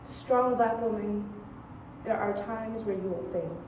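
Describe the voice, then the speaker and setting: A woman's voice: medium pitch, a little slow. A young woman performing spoken-word poetry on stage with emphatic, rhythmic repetition. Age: young adult. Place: stage in a large room. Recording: echoey, distant, slightly dull noisy stage recording.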